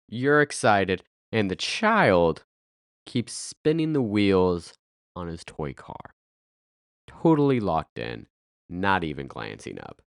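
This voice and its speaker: A man in his thirties hosting a podcast, speaking with a raspy, nasal voice from a head cold, earnest with bursts of playful energy. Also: on the low side, no echo, clean studio sound. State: congested with a cold, stuffy and nasal. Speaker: podcast host. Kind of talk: scripted podcast monologue.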